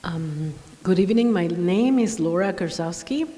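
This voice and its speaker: A woman from Mexico introduces herself on a panel, hesitating with frequent ums. Her voice is very low and mellow, and it echoes with the long reverb of a hall. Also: expressive, normal speed. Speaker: panelist, business owner.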